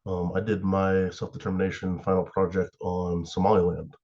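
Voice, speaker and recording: A sick young man from the USA, slightly hoarse and raspy, giving a class presentation with many fillers and pauses. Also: sits low, little pitch movement, darkish tone, highs cut off. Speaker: student presenter.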